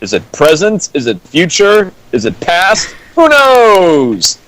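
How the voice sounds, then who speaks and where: A man's voice: high voice, huge pitch swings, takes it slowly, fairly bright tone, no room sound. A man joining a stream as co-host, chatting casually and hesitantly with lots of fillers. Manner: rambling, hesitant, with many fillers. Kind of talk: casual joking chat.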